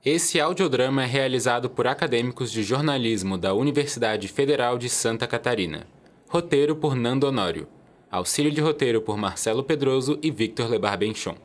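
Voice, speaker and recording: A man's voice: medium pitch, expressive, fairly quick. A man reading scripted narration as the storyteller of an audio drama.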